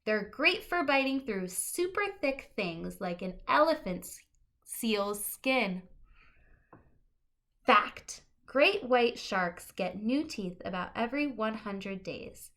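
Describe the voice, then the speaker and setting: Slow, lively-pitched woman reading to children. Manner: expressive, explanatory, emphasizing key words for young listeners. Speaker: teacher reading aloud to children. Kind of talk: read-aloud of a children's nonfiction picture book with short asides.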